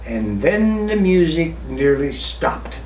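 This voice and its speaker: A man with a high voice performs a dramatic ballad recitation. His delivery is slow and theatrical, with pauses for effect. It is picked up from a distance in a roomy space. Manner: theatrical, drawn-out, expressive storytelling with pauses. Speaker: poetry reciter. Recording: roomy, distant mic with faint noise.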